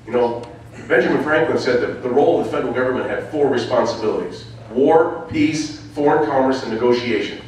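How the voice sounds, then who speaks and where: A man's voice: mid-range voice, a little fast, a little mellow, far from the mic, a little hiss or hum. Fiery candidate man, echoey hall. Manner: fiery, emphatic, rallying. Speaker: political candidate. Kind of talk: campaign answer at a public forum.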